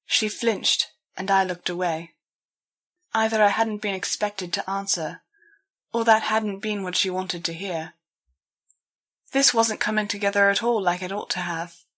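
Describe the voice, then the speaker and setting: A woman reads fiction aloud in the first person. Her voice is mid-pitched, and the delivery is that of dramatic audiobook narration. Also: steady tone, unhurried, fairly bright tone. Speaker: audiobook reader.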